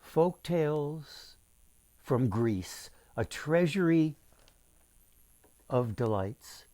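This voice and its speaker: A man reading a folktale aloud as its author, with deliberate, dramatic phrasing for young listeners. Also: on the high side, lively intonation, warm tone, dry recording.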